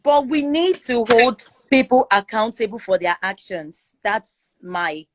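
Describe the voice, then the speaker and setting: A woman with a Nigerian accent giving her opinion forcefully in a live chat room, repeating her points for emphasis. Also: on the high side, expressive, measured pace, noisy background. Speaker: guest speaker in a live audio chat room. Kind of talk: opinionated casual commentary.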